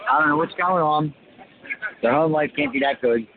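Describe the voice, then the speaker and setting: A man's voice: a little high, big pitch swings, measured pace. A man from France, a protester being interviewed outdoors, speaking bluntly and crudely. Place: outdoors on a city street. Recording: tinny phone line with street noise.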